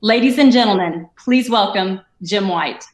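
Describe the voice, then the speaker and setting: A woman announcer with a middle-pitched voice reads a scripted introduction smoothly. Her voice sounds roomy, with a clear echo. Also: measured pace, muffled recording.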